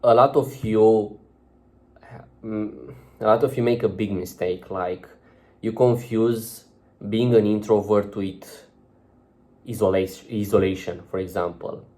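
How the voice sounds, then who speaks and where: A man's voice: lowish voice, fairly even intonation, a little slow, long pauses, a little mellow. A young man speaking English as a second language, giving casual advice to the camera in a loose, chatty way, full of fillers and restarts. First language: not English. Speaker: vlogger giving social advice.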